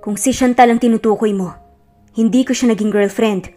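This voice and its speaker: A woman voicing a gentle, remorseful man pleading earnestly with his former girlfriend in a romance audio story. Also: on the high side, steady tone, a little fast, soft background music, lightly acted.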